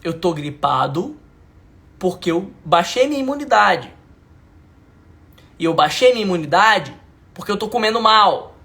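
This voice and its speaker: A man's voice: sits high, animated, measured pace, vocal fry, long pauses, bright, forceful tone. A man with a Brazilian Portuguese accent, teaching a live class as a mentor, emphatic and informal, repeating his points and checking whether the audience follows. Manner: emphatic, repeats lines, asks the audience if it makes sense; informal slang, talks to viewers directly. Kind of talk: live online class lecture.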